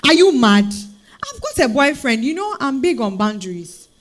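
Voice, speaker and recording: A woman playing a sassy, outspoken Ghanaian career woman in a stage reading, expressive and teasing, with a Ghanaian accent. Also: high-pitched, moderate pace. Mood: indignant, sassy.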